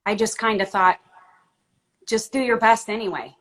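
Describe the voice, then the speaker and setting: A woman from Florida answering interview questions in a measured, hesitant way with many pauses. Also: medium pitch, fairly bright tone. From Florida, United States. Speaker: guest.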